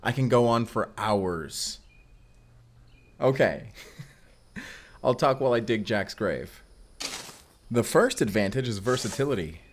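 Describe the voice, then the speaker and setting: A man's voice: mid-range voice, expressive, takes it slowly, pauses often. A man acting a mysterious vampire slayer, earnest and wryly awkward, in an intimate audio roleplay with casual, confiding delivery. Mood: matter-of-fact, wry. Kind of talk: cinematic audio roleplay acting, intimate one-sided dialogue.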